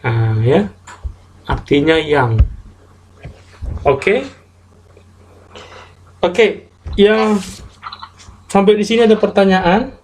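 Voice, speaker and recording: A man teaching a translation class online, explaining grammar slowly and step by step with English example sentences. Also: high-pitched, background noise.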